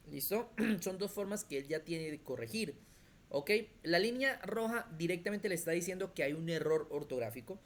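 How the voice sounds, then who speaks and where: A computer skills teacher, explaining step by step in an online class and checking in often with quick questions. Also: medium pitch. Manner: thinks aloud with restarts while demonstrating on screen.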